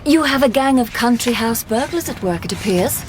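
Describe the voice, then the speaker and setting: A woman's voice: on the high side, animated, normal speed, a little hiss or hum, lightly acted. An actress playing a dry-witted lady detective in a radio drama. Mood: composed. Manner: dry, wry.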